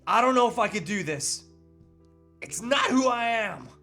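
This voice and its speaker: A man's voice: very high-pitched, animated, normal speed, sharp tone, soft background music, exaggerated voice. A man acting a reluctant, overwhelmed family man in a comedic table read, hesitant and protesting.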